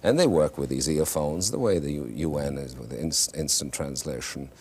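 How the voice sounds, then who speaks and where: A man, an actor and singer whose first language is German, telling stories in a reflective, halting way with wry humor in a television interview. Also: rumbling low, expressive, a little mellow. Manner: thoughtful, halting with many restarts, wry humor. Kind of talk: reflective conversational storytelling. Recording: faint hiss, 1970s TV studio.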